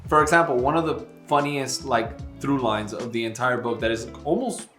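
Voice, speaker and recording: Male book vlog co-host, mid-pitched, emphatic and wry, casual chat in a roomy space with soft guitar music. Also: normal speed. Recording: roomy echo, faint music behind.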